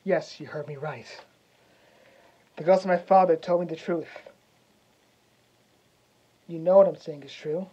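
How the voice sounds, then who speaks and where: A man playing a vengeful young prince as a cocky wrestler cutting a theatrical promo, swaggering and taunting with dramatic pauses. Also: a little high, dark tone, lo-fi sound, slight background noise, lightly acted. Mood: menacing. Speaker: actor.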